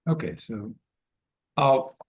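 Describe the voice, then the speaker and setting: A man, a poet and professor, explaining informally before a recital with halting, self-correcting phrasing. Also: medium pitch.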